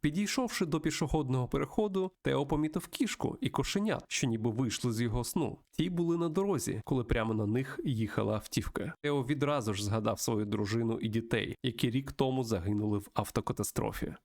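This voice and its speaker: A man with a creaky voice, hosting a let's-play, reading a visual novel aloud and adding his own commentary. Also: medium pitch, fast, few pauses, a little mellow. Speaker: host of a video game let's-play show.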